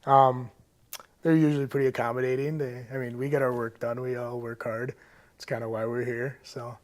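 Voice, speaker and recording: A man's voice: mid-range voice, level tone, moderate pace. Laid-back young American man, mid-range voice. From Moorhead, Minnesota, United States. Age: young adult. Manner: laid-back, short clipped answers. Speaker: college football player, interview guest. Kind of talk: casual interview answers.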